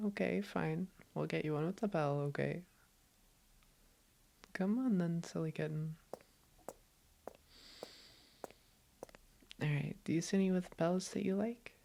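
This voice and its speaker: A woman playing a doting, gently teasing girlfriend in an intimate roleplay audio, affectionate and reassuring. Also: fairly low pitch, creaky, slight background noise. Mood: playful, fond.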